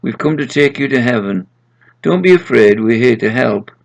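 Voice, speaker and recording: A man's voice: medium pitch, lively intonation, moderate pace, vocal fry, highs cut off, pitched above the performer's own voice. A man voicing a kindly, practical woman who escorts souls to heaven in a story. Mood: kind, brisk.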